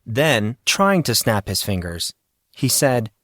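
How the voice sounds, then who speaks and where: A man's voice: medium pitch, very animated, takes it slowly, a bit bright, dry recording, studio quality. A man reading scripted fiction narration as the narrator of an audio story.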